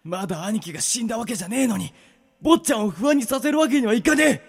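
A man's voice: very high voice, expressive, fast talker, heavily acted. A man acting an earnest, easily flustered yakuza underling, stammering and melodramatic in a radio drama. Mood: tearful, determined. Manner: stammering, melodramatic, rough henchman's slang.

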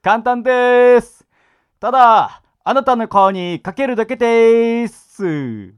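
A man's voice: extremely high pitch, animated, slow pace, clear, resonant tone, dull audio, exaggerated voice. A man acting a playful, mock-polite sadistic torturer in an amateur radio drama. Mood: gleeful, mocking. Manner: sing-song mock politeness with giggling.

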